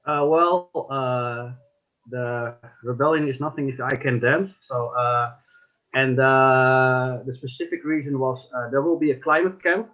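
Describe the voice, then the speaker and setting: A Dutch man, a songwriter, speaking haltingly with many fillers on a video call. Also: mid-range voice, fairly even intonation. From Netherlands. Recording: tinny phone-like call audio.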